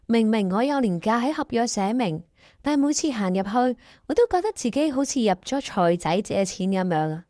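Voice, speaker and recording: Animated, highish-voiced woman host. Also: normal speed, no room sound, studio quality. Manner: playful, exaggerated. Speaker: host and narrator of a radio drama. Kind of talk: dramatic comic storytelling.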